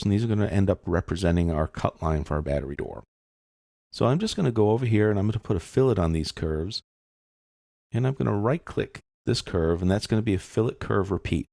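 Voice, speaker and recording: A man giving an informal step-by-step software tutorial, explaining casually as he thinks aloud. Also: sits low, vocal fry, no room sound, studio quality.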